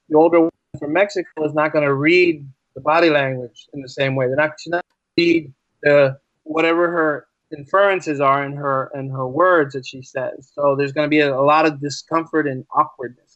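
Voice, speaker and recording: Emphatic American man, slightly higher-pitched, muffled recording. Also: fairly even intonation, normal speed, fairly bright tone, no echo. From United States. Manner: emphatic, repeats phrases for stress, uses rhetorical questions. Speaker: podcast guest, teacher. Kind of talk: conversational interview.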